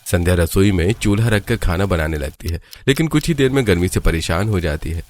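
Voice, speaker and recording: A man's voice: low voice, animated, fairly quick, darkish tone, slight background noise. A man narrating a scripted cartoon storybook tale as the narrator.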